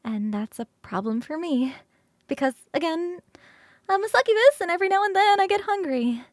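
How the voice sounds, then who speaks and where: Woman doing a bubbly succubus roommate for asmr roleplay, high girly voice, really dry close sound, rambly and teasing. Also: animated, moderate pace, dry recording. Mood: coaxing, sheepish.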